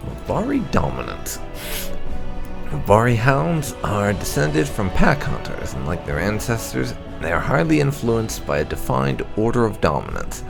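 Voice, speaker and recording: A man with a deep voice reads video game lore aloud in a measured way. His tone is gravelly with plenty of fry, and his pitch moves expressively as he narrates. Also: huge pitch swings, over music. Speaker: video game playthrough host.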